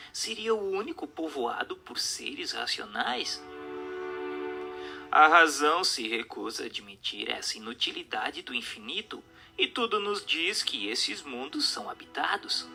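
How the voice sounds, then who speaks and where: A man's voice: fairly high pitch, pushed and bright. A man with a Brazilian accent reading a scripted narration in an emphatic, didactic, rhetorical manner. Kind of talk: scripted dramatic narration of a spiritist text. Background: music under the voice.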